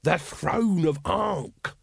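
Guy putting on a wheedling market hawker, pitched up, very lively, cheerful chancer from an audiobook, dry sound. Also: moderate pace, creaky, no room sound, exaggerated voice. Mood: patient, preachy. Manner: whining, hawking sales patter. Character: pushy, wheedling male street sausage vendor, cheerful chancer.

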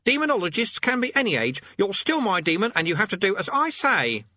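A man voicing a squeaky, eager young boy demonologist who blusters pompously and hesitates, in a storybook reading. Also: sing-song, moderate pace, pushed and bright, dry recording, muffled recording, heavily acted. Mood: defiant, petulant. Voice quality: squeaky, high-pitched.

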